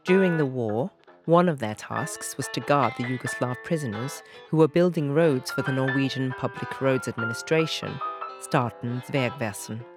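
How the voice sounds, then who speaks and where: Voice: medium pitch, moderate pace. A narrator reading a scripted, factual introduction and historical commentary for an audio drama. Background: plucked guitar music.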